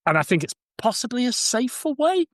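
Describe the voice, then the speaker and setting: A man's voice: very high-pitched, sing-song, brisk, fairly bright tone, no room sound, clean studio sound. A man chatting casually on a podcast, musing informally as he talks. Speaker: podcast speaker. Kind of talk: casual chat.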